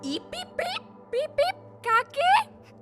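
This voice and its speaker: A woman's voice: extremely high pitch, huge pitch swings, slow, exaggerated voice. A woman playing a wicked, hot-tempered witch in a theatrical audio play, commanding and imperious. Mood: chanting. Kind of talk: stage audio play acting. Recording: live stage show with music underneath.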